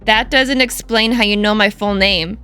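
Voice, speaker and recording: A woman's voice: mid-range voice, steady tone, moderate pace, bright, forceful tone, close to an everyday voice. A woman voicing a fierce, hot-tempered teenage girl who leads a gang in a visual novel, curt, scornful and threatening. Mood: angry, menacing.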